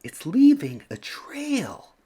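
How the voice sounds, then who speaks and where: Shrill, excited schoolchildren. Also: male voice, slow pace, breathy edge, full character voice. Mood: amazed.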